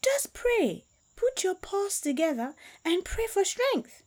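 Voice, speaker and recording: A woman's voice: very high-pitched, big pitch swings, slow pace, darkish tone, pitched above the performer's own voice, exaggerated voice. A woman doing a sly trickster spider. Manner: teasing, mock-encouraging.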